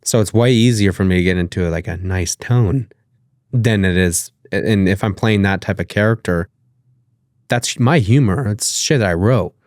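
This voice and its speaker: Deep-voiced nasal man, casual guest. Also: normal speed, darkish tone, dry recording, studio quality. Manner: casual, loose, swears freely, many fillers. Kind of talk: casual interview conversation.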